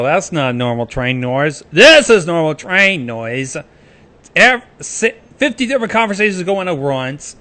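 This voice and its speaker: A man's voice: fairly high pitch, bright, forceful tone. A man giving casual, joking livestream commentary in a sarcastic, sweary way.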